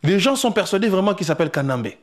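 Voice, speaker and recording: A man's voice: sits high, sing-song, fast, a little hiss or hum. A man with a Congolese accent in a political debate, emphatic and questioning, mixing two languages.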